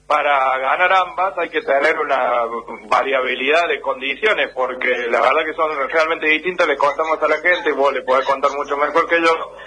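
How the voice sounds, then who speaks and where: A man reporting on sports for the radio and explaining things at length. His voice is slightly high, very bright and husky. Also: rough recording. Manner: expansive, explanatory.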